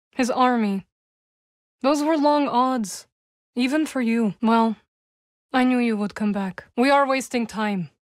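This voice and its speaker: A woman playing a solemn, guarded young witch warrior in a video game, dryly wry, with measured, formal phrasing. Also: a little high, dry recording, studio quality, lightly acted. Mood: dry, composed. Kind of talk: video game character dialogue.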